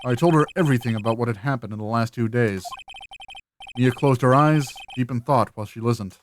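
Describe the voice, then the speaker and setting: A man's voice: mid-range voice, brisk, a little hiss or hum, lightly acted. A man voicing an earnest, anxious young defense lawyer in a fan voice-over of a visual novel game, hesitant and questioning. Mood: reflective.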